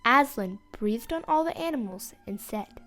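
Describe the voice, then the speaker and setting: A young female narrator reading a scripted fantasy story aloud with expressive storybook delivery. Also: on the high side, normal speed, faint music behind.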